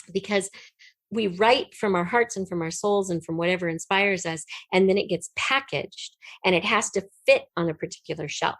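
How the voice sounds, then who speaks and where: A woman's voice: fairly low pitch, no room sound. A middle-aged woman being interviewed, telling stories in an emphatic, candid, conversational way. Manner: emphatic, candid, laughs readily. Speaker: interview guest, audio drama writer. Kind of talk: animated conversational storytelling in an interview.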